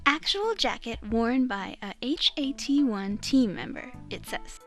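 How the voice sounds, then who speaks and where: A woman's voice: a little high, expressive, measured pace. A woman voicing a curious, perky teenage girl, a lawyer's daughter, in a video game fan dub. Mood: wide-eyed. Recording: amateur voice-over under game music.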